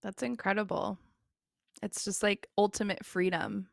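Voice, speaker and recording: A woman's voice: medium pitch, fairly even intonation, measured pace, vocal fry, dry recording, clean studio sound. A woman who runs her own podcast, speaking in an earnest, reflective way in a casual conversation. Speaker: podcaster, guest.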